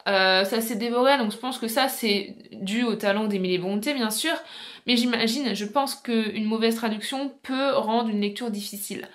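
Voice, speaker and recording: A woman's voice: fairly high pitch, normal speed, fairly bright tone. A woman presenting an informal video essay as a book vlogger, chatty and explanatory, speaking straight to her viewers.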